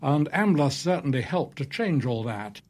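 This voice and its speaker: A man's voice: on the high side, big pitch swings, normal speed. A man gives unscripted expert commentary, choosing his words with some hesitation.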